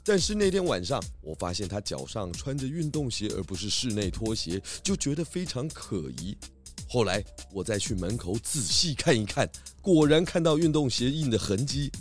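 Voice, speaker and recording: A man playing a shrewd, confident pig detective in a children's radio play, explaining deductions in a deliberate, theatrical, gently lecturing way. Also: medium pitch, big pitch swings, soft background music, close to an everyday voice. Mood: triumphant.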